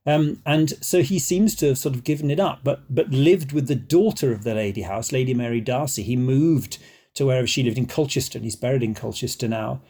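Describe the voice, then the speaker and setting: A man talks through early music with a mellow, warm tone. He is chatty and scholarly, pausing with ums and drifting into tangents. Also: medium pitch. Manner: digressive, hesitant, scholarly. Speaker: guest musician. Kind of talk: chatty expert explanation.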